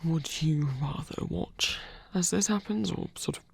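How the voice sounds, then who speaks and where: A man playing a shy, insecure frost giant trickster god in an intimate ASMR roleplay, breathy and halting, close to the microphone. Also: on the high side, no echo, full character voice. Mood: nervous, procrastinating. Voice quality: breathy, whispery. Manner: hesitant, halting, stammering. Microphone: close microphone, dry.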